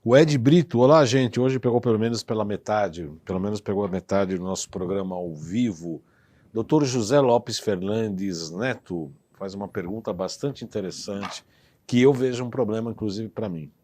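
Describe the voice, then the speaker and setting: A man's voice: lowish voice, normal speed, mellow. A man from Brazil hosting a live TV interview in a studio, talkative, teasing and emphatic. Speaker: TV show host.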